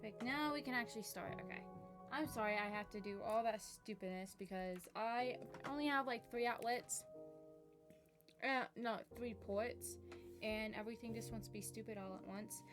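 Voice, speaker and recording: A woman's voice: fairly high pitch, normal speed. Girl streamer just chatting to her viewers, kinda rambly and self-mocking, music playing behind her. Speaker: game streamer. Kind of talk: casual stream chat to viewers. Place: indoors at a home gaming setup. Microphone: streaming microphone.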